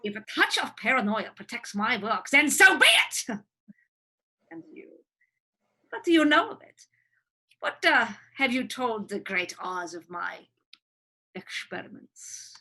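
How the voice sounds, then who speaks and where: A woman's voice: on the high side, very animated, measured pace, long pauses, sharp tone, heavily acted, rough recording. A woman playing a gloating, cackling villainous hospital doctor in a script reading.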